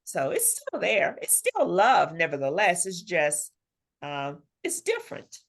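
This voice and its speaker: Lady author being interviewed, kinda low voice, chatty and expressive, slips into little acted-out quotes. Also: a little slow. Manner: expressive, acts out imagined dialogue. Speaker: interview guest, romance author. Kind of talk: conversational interview, animated storytelling.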